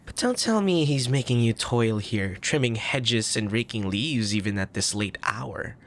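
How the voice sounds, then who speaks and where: A man playing a soft, tender young crown prince in an intimate ASMR roleplay, speaking formally with a gentle, hesitant manner and a troubled air. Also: medium pitch, lively intonation, unhurried. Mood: concerned. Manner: formal old-fashioned wording, gentle, hesitant.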